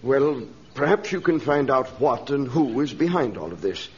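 A man's voice: mid-range voice, very animated, moderate pace, husky. A man playing an earnest, anxious missionary in a radio adventure serial, well-spoken and urgent. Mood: worried. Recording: dull, noisy old radio recording.

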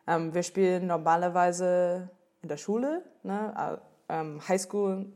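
American woman speaking halting German. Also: lowish voice, a little mellow. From United States. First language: English. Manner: halting, searching for German words. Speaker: guest. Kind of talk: casual interview answers.

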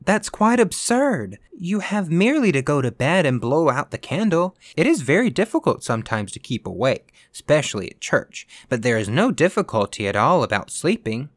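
A man's voice: a little high, sing-song, moderate pace, hardly any pauses, dry recording, clean studio sound, pitched above the performer's own voice. A man voicing an earnest, brave little girl in a storybook reading, prim and matter-of-fact in manner.

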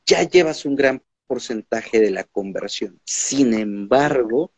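A man with a Mexican accent hosting a podcast interview in a casual, animated way, often repeating his words. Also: medium pitch, slow, no echo. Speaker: podcast host. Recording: dull lo-fi sound.

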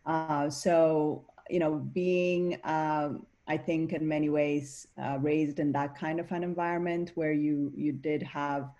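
A woman's voice: low-pitched, flat delivery, takes it slowly, hardly any pauses, dark tone, lo-fi sound. A woman from Ethiopia, a guest in an interview, speaking thoughtfully with many fillers. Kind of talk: reflective interview talk.

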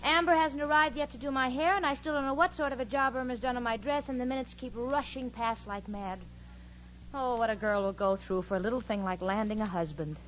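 A woman's voice: on the high side, brisk, a bit bright, natural voice. An actress playing an exasperated, sensible young woman with emphatic, dramatic 1940s radio comedy delivery. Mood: flustered. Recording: muffled, noisy old radio recording.

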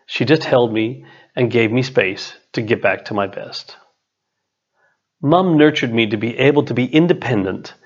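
Man, middle-aged, mid-pitched voice, measured scripted narration, deliberate phrasing, slightly muffled lo-fi recording. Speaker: author, business and leadership coach. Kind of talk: scripted narration, reading own written chapter.

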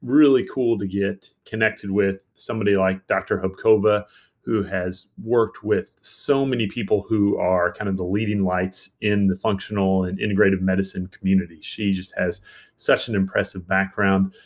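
A man's voice: deep voice, fairly even intonation, normal speed. A man co-hosting a podcast, introducing the episode in a rambling, enthusiastic way with many fillers. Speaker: podcast co-host.

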